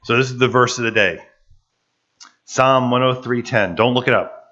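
Man preaching, bright forceful voice, bit rough from allergies, kinda muffled sound. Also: moderate pace. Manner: animated, playful, jumps to high excited pitch. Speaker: pastor. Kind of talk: sermon with live interpretation.

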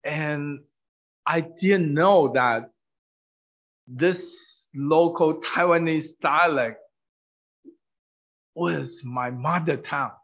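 Male preacher, native Mandarin speaker, unhurried and halting, higher-pitched, testimony style, on a dull muffled recording. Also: a bit bright. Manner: halting, with frequent fillers and restarts. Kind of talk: testimony sermon through an interpreter.

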